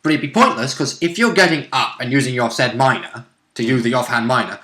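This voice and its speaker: Guy player explaining the rules in detail, bright voice, echoey room. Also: medium pitch, a little fast. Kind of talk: casual chat about game rules.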